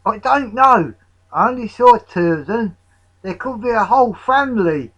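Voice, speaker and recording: A man voicing a fussy, excitable cricket fan in a storybook, speaking emphatically and with agitation. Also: sits high, slow pace, voice pushed up, heavily acted, poor audio. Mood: alarmed, indignant.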